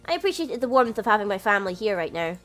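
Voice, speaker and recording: A woman's voice: medium pitch, very animated, fast, a bit bright, soft background music, pitched below the performer's own voice. A woman reading a visual novel aloud, voicing a sheepish young man who narrates and argues with his family. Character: young adult man, a flustered older brother who narrates.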